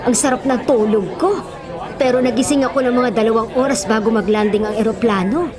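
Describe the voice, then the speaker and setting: Actress as chatty, fussing middle-aged wife. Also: female voice, fairly high pitch, a little fast, natural voice. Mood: excited. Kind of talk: Filipino radio drama acting. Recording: busy crowd sound and music behind. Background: music and crowd bustle.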